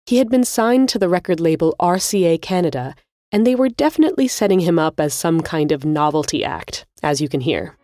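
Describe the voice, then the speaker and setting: A woman narrates as a podcast host with a slightly low, softly mellow voice. Her delivery is scripted, measured and explanatory, like a spoken essay, captured close and dry. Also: animated, no echo.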